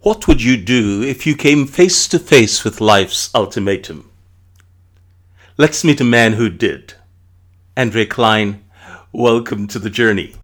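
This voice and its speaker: A man hosting an interview show, reading a scripted intro and then questioning his guest in a measured, deliberate way. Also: medium pitch, lively intonation, a bit bright. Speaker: host.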